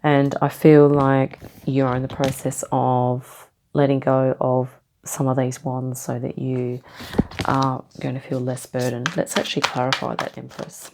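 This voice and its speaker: A woman giving a casual tarot reading, rambling gently with lots of ums and okays, a bit nasal from a cold. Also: very deep voice, monotone, a little slow, background noise. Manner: meandering, many ums and okays, gentle reassuring tone. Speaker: tarot reader.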